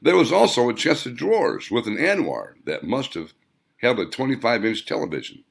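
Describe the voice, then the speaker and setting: A man's voice: mid-range voice, big pitch swings, normal speed, vocal fry, slightly rough. A man reading his own novel aloud as scripted audiobook narration, with dramatic, emphatic phrasing.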